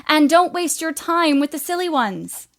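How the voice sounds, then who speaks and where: A woman's voice: high-pitched, expressive, a little slow. A woman playing a spirited, restless young woman writer, blunt but caring, in a period audio play. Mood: teasing, spirited.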